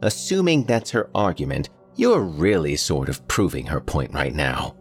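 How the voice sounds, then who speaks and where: A man voicing a calm, gently wry mother who speaks slowly and evenly. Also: rumbling low, soft background music, heavily acted. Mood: calm, dry.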